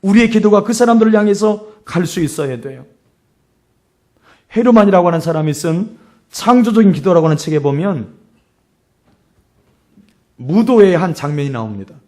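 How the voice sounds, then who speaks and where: A middle-aged man preaches in a notably high voice with a hoarse edge. He speaks as a pastor, moving between storytelling and exhortation, and repeats phrases for emphasis. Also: animated, normal speed, long pauses, dark tone.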